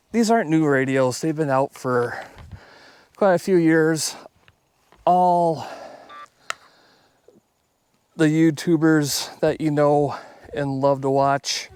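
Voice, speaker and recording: A man's voice: on the high side, darkish tone, clear, resonant tone, dry recording. A man talking casually to viewers outdoors on a hike, in a plainspoken, conversational way. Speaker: YouTube host. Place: outdoors on a hike along a river.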